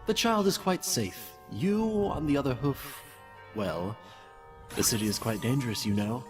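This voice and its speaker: A man's voice: mid-range voice, expressive, measured pace, soft background music, natural voice. Man acting a silky, sinister villain pony, swooping delivery. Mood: calm menace. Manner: genteel, theatrical, menacing. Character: sinister, falsely courteous confectioner pony villain. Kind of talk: dramatized audio story.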